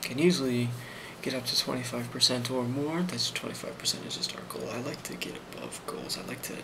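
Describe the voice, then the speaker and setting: A man doing an ASMR role play as a company boss, speaking softly and often near a whisper, in a halting, improvised way with many ums. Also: mid-range voice, fairly even intonation. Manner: soft-spoken, often slipping toward a whisper. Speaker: ASMR role-player playing a company boss giving a performance review. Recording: clearly audible background noise.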